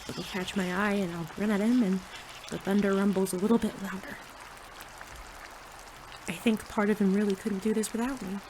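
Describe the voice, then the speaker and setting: A woman's voice: mid-range voice, normal speed, pauses often, a little hiss or hum, close to an everyday voice. A woman playing a cocky, earnest teenage rookie superhero who acts and narrates in an audio drama. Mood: earnest narrating. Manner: excitable, sarcastic, earnest in narration. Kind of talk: audio drama acting and first-person narration.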